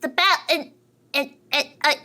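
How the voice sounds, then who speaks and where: Female roleplay podcast player with a bright, slightly high voice; casual, stumbling, hesitant delivery. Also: steady tone. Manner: hesitant, halting, repeats words.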